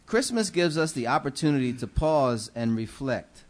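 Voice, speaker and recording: A man's voice: medium pitch, sing-song, normal speed. A middle-aged man, a city commissioner, giving earnest, preacherly holiday remarks into a desk microphone in a council chamber. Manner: earnest, preacherly, many fillers. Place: council chamber, seated at dais. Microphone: desk microphone on gooseneck.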